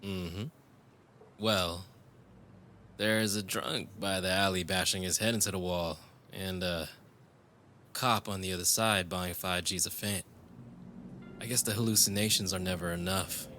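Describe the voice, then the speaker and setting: Guy doing a teasing cyberpunk biker bf for a romance roleplay, really slow sweet talker, protective, super bright edgy tone, bit of city noise behind. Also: low voice, steady tone. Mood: teasing, flirtatious. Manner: teasing, unhurried sweet-talk. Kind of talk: intimate romance audio roleplay.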